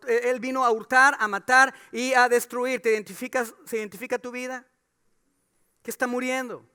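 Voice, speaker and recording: Preacher guy, really high voice, smooth and clear, firing questions at the church. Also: bright, forceful tone. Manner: preaching with rhetorical questions, rising to emphatic calls. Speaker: pastor. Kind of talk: sermon.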